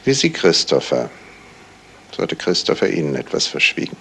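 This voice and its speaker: A composed male police detective in a seventies radio mystery. His voice is very low and gravelly. He questions people formally, with measured and pointed phrasing. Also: very animated, fairly bright tone, slight background noise, heavily acted. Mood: matter-of-fact, pointed. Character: probing, composed male Scotland Yard detective. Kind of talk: 1970s German radio crime drama acting.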